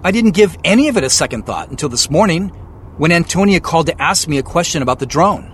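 An actor playing an anxious, stubborn amateur investigator in a naturalistic audio drama, speaking in an earnest, hesitant, conversational way. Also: male voice, on the high side, expressive, fast talker, slight background noise, close to an everyday voice. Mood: earnest, explaining.

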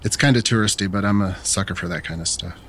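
An actor playing an easygoing man on a date, talking gently and reassuringly in a naturalistic fiction podcast. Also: low voice, quick pace, slight background noise. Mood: flirty, easygoing.

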